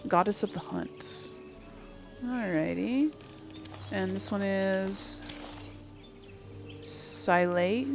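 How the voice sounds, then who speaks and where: A woman doing a casual let's play, reading dialogue in character in a musing, unhurried way. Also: medium pitch. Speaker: video game role-player.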